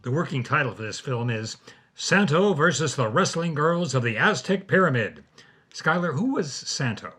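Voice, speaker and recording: A man's voice: on the high side, big pitch swings, normal speed, fairly bright tone, husky, soft background music. A man hosting a storytelling podcast, delivering scripted narration.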